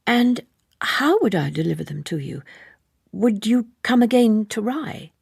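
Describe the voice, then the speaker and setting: Audiobook ingénue in her twenties read by an actress: earnest, businesslike, polite, husky, dry close sound. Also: fairly high pitch, sing-song, measured pace, a little mellow, no room sound, pitched above the performer's own voice, exaggerated voice. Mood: direct, wary. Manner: businesslike, careful, formally polite. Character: earnest, naive young woman of twenty-three, quietly direct. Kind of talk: audiobook character dialogue.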